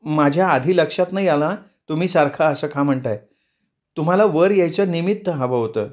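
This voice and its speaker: A man's voice: on the high side, normal speed, voice pushed up, lightly acted. A man voicing a jittery frightened young woman. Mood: anxious. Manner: fretful, pleading.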